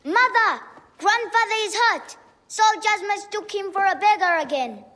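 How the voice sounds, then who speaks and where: High-pitched, earnest young boy, acted by a woman. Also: expressive, a little slow, pushed and bright, heavily acted. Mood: alarmed. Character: Kawab, young boy, eager and devoted to his family. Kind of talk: video game cutscene acting. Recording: long reverb, noisy background.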